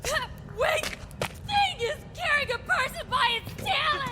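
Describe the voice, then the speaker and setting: A jittery, excitable companion, voiced by a woman, very high and lively as she shouts orders. Loud noise fills the background. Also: slow pace, exaggerated voice. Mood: urgent. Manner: urgent, exclamatory.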